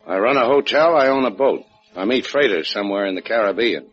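A man's voice: lowish voice, expressive, fairly quick, natural voice. A man playing a tough, wry boat skipper, terse and sardonic, in a 1950s radio drama. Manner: terse, hard-boiled, sardonic. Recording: dull old radio recording with music.

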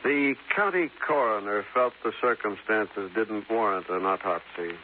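A man's voice: fairly low pitch, close to an everyday voice. Man as a stubborn older sheriff on tinny radio. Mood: firm, guarded. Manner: formal, measured, unyielding. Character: silver-haired county sheriff, firm, courtly, protective, stubborn. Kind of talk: 1950s radio drama acting. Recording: narrow hissy 1950s radio broadcast.

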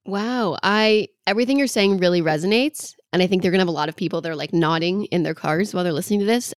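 A woman's voice: lowish voice, fast. A woman hosting a casual interview podcast, chatty and enthusiastic. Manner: enthusiastic, chatty, lots of likes. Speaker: podcast host.

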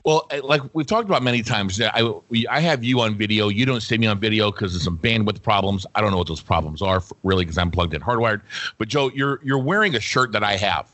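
Casting: co-host male, lower voice, easy rambling chat, dry sound. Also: fairly quick, dry recording. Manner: relaxed, talkative, self-correcting. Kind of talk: casual sports talk.

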